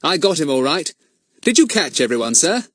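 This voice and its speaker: A man's voice: a little high, very animated, fairly bright tone, dry recording, clean studio sound, voice pushed up. A man reading a storybook voicing a bold, cheerful schoolboy who leads his friends. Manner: brisk, cheerful, polite to adults.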